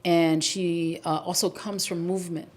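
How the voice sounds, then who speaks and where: Reflective middle-aged New woman, lowish voice. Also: flat delivery, measured pace. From New York City. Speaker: podcast host and activist being interviewed. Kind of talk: reflective conversational interview.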